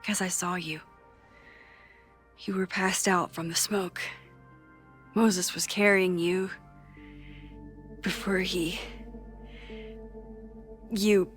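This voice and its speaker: Slow-spoken, earnest young woman. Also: on the low side, steady tone, faint music behind. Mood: grave, confessional. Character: earnest, worried young woman, determined investigator with time-rewind knowledge. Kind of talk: naturalistic video game drama acting.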